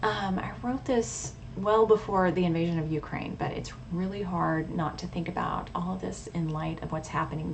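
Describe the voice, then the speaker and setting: Low-voiced woman, noisy recording. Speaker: radio hobbyist vlogger and poet. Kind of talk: casual informative vlog talk with poetry reading. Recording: clearly audible background noise.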